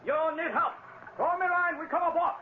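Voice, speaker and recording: A man playing a menacing junk sailor who shouts demands in broken English in a 1940s radio adventure. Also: very high-pitched, steady tone, normal speed. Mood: menacing hail. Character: shrill, menacing native junk sailor hailing a ship. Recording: dull old radio recording, faint noise.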